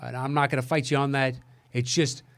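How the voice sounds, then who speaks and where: A man's voice: mid-range voice, level tone, brisk, vocal fry, clean tone. Male co-host for casual movie review podcast, mid-range voice, hedges and works thoughts out as he goes. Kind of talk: casual film review chat.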